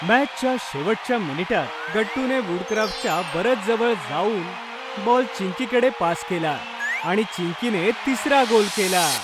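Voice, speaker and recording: A man's voice: high-pitched, animated, measured pace, heavy background noise. A man narrating a scripted children's cartoon story as its storyteller. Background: background music.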